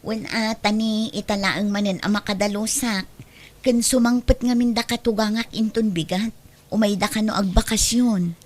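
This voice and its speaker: A woman's voice: mid-range voice, fairly even intonation, moderate pace, a little mellow, natural voice. A woman plays a thrifty neighbor with a plain mid-range voice. She speaks warily and reluctantly whenever money comes up. The acting is everyday, as in a spoken audio drama. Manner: wary, reluctant.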